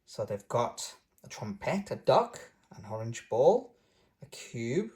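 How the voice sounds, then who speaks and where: A woman narrates a picture book for small children. Her pitch is very lively and her pace slow, and she adds playful little comments in simple phrases. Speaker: storybook reader and channel host. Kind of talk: read-aloud narration with playful asides.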